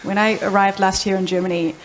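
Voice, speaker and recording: A woman's voice: medium pitch, little pitch movement, fast talker, low-quality recording. A woman with a New Zealand accent speaking informally and jokily as a conference panelist. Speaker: panelist, product executive. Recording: live event with faint background noise.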